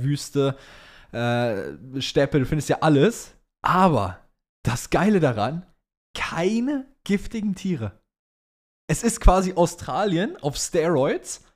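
A young man, co-hosting a podcast in casual banter with slangy phrasing. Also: on the high side. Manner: slangy, mixes English phrases into German. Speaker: podcast co-host. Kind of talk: casual banter, answering listener questions.

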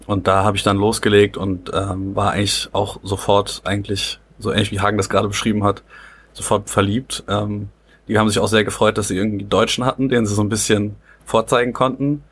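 Low husky young man, flat delivery. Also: a little fast. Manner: chatty, hesitant with fillers. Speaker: rugby player. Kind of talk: casual interview answers.

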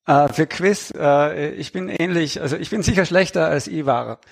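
A man talking casually as a guest author in a relaxed group conversation. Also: on the high side, normal speed, gravelly, breathy edge. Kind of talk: casual chat.

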